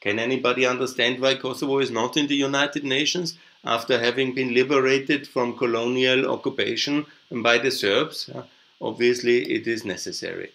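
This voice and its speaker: A man's voice: mid-range voice, fairly even intonation, moderate pace, vocal fry, bright, forceful tone. A man with an Austrian accent, a native German speaker, giving opinionated, emphatic off-the-cuff political commentary as a podcast host. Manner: emphatic, opinionated, repeats points, tags with "yeah?". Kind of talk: unscripted political commentary over slides.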